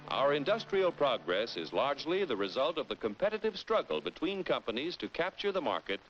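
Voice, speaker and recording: An actor playing a confident, didactic American narrator and guide in a 1940s educational cartoon. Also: male voice, medium pitch, sing-song, fairly quick. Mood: earnest, persuasive. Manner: didactic, persuasive, polished. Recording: muffled vintage film soundtrack, loud music.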